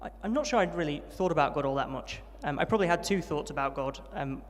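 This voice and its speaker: A young man recounts his upbringing at a brisk pace and a slightly raised pitch. He is friendly and self-deprecating, with plenty of ums. His voice rings with the long reverb of a large hall. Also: animated. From Yorkshire, England. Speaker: interviewee, trainee vicar. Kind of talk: testimony interview at a student event.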